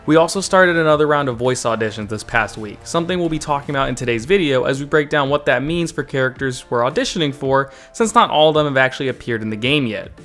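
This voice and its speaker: A man's voice: medium pitch, expressive, fast, hardly any pauses, fairly bright tone. A man hosting a scripted video update as a game developer, speaking in an upbeat, informal way over music.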